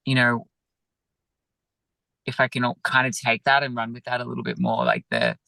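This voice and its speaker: A man, a yoga teacher, talking gently and reflectively over a video call, with many pauses and fillers. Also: medium pitch, sing-song, creaky, no room sound, low-quality recording. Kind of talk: reflective interview talk.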